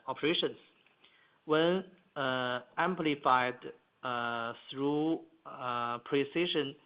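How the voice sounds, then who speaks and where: A man presenting prepared corporate earnings remarks as an executive, halting and hesitant, with frequent filler sounds and repeated words. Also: mid-range voice. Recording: muffled, band-limited conference call audio.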